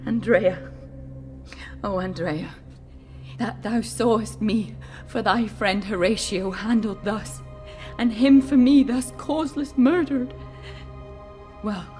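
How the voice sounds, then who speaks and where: An actress playing a wronged young noblewoman held captive and mourning her lover, declaiming intense verse in a radio tragedy. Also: medium pitch. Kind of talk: Elizabethan tragedy radio acting.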